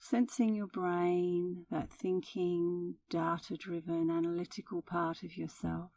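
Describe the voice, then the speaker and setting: A woman leading a guided meditation as an instructor, with a soothing, gentle delivery. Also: on the low side, flat delivery, slow, recorded from a distance.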